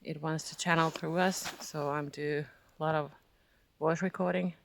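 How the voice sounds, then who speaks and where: A Finnish-accented speaker, native in Finnish, gives a hesitant, reflective spiritual vlog, often searching for words and laughing softly. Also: mid-range voice, fairly even intonation, moderate pace, gravelly, a little hiss or hum. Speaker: spiritual vlogger. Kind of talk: casual reflective monologue.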